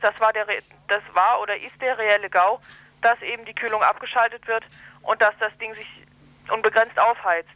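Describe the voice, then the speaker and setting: A woman giving an unscripted explanation as a spokesperson, halting and correcting herself, recorded over a telephone line. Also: on the high side, no room sound. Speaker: environmental campaign spokesperson being interviewed. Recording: dull, band-limited telephone line.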